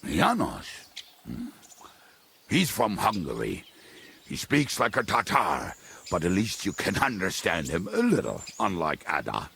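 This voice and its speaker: A roguish medieval mercenary for a video game. His voice is low and gravelly, and it swings widely up and down as he talks. He is blunt, colourful and jovial in a wry, foul-mouthed way. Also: wildly swooping pitch, unhurried, bright, forceful tone, heavily acted. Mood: jovial, candid. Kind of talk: dramatic video game dialogue.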